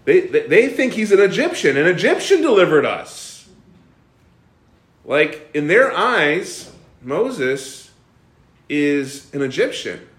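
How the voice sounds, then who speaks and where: Animated man teaching a Bible class. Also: a little high, takes it slowly, long pauses, roomy sound. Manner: animated, asks the class questions, frequent fillers and restarts. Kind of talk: interactive bible study teaching.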